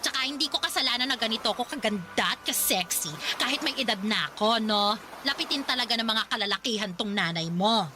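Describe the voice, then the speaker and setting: A woman's voice: on the high side, animated, a little fast, music in the background, close to an everyday voice. A woman playing a shameless, vain, flirty middle-aged mother with a Filipino accent in a radio drama, coquettish and dramatic. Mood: brazen, defensive. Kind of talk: Tagalog radio drama acting.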